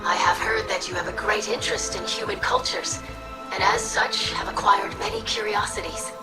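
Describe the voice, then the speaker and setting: Woman voicing lively young alien scholar. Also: mid-range voice, normal speed, close to an everyday voice, rough recording. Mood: curious, polite. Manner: formal, polite, eager. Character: earnest, curious young alien female scholar. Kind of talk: video game cutscene acting. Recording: clear music behind the voice.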